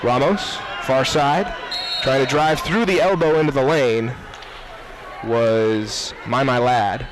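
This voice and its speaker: A man's voice: medium pitch, takes it slowly. A young man calling live basketball play-by-play in clipped running phrases, raising his voice for key plays. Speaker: play-by-play announcer. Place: courtside in a basketball gym. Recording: noisy gym with loud crowd behind. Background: crowd, bouncing basketballs.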